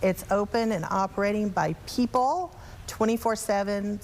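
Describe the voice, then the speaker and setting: A woman interview guest with a medium-pitched voice explains programs like a practiced executive. Her delivery is articulate and orderly, with faint ambience behind it. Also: moderate pace, darkish tone, a little hiss or hum, poor audio. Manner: articulate, organized, polished. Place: TV studio.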